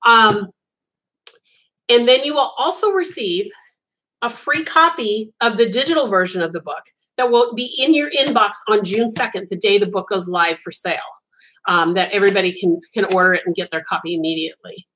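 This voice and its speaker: A woman's voice: mid-range voice, moderate pace, a bit bright, low-quality recording. A woman whose first language was Italian, hosting a casual live chat as a crochet designer, rambling with frequent ums and restarts.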